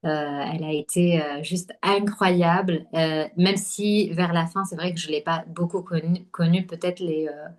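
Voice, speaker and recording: A woman from Algeria, a podcast guest, talking casually with many pauses and fillers. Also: on the low side, steady tone. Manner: hesitant, many fillers and restarts. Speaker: podcast guest, holistic nutrition coach. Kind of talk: casual conversational interview.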